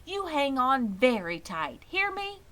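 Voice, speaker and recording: A woman's voice: sits high, sing-song, slow pace, fairly bright tone, voice pushed up, exaggerated voice. A woman voicing an anxious, protective storybook mother with an urgent, emphatic, fussing manner.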